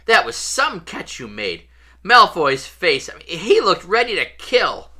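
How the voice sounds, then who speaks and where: A reader voicing a cheeky, excitable schoolboy best friend, teasing and blunt. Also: medium pitch, unhurried, pitched above the performer's own voice, exaggerated voice. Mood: gleeful, joking.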